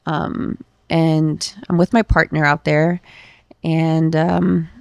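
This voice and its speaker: Thirties woman guest, gravelly and lowish, candid chatty podcast talk, dry close mic. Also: little pitch movement, takes it slowly, no echo. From Los Angeles. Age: 30s. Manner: candid, emotional, uses many fillers like "um" and "like". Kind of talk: casual conversational interview.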